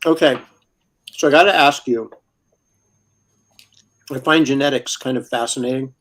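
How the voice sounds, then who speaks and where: An older man in his sixties hosts a relaxed live stream, keeping up a chatty, easygoing talk. He trades friendly questions with his guest and reads out comments from viewers as they come in. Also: medium pitch, normal speed. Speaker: host. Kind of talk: casual live-stream chat.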